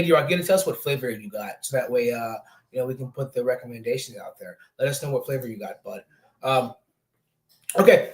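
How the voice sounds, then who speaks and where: A man's voice: mid-range voice, fairly bright tone. A man in his late twenties hosting a casual sports talk show, rambling with lots of fillers. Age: 20s. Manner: chatty, rambling, many fillers. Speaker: podcast host.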